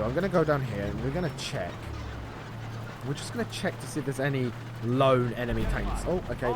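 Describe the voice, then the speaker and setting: Man commentating over noisy game sound. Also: mid-range voice. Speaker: gaming video host. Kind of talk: gameplay commentary.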